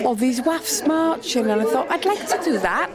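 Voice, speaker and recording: Older woman, higher voice. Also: expressive, normal speed. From Wales. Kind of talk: casual reminiscence. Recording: background chatter in a room.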